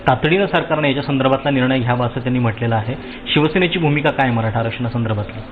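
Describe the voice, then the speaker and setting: A man's voice: mid-range voice, very fast. A man with a Maharashtrian accent reporting from the field as a television news reporter. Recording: tinny phone-like sound, some background noise.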